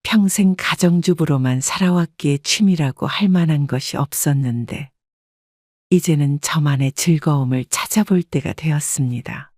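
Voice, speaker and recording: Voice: medium pitch, normal speed, darkish tone, no echo, clean studio sound. A narrator reading a scripted first-person story in a composed storytelling manner.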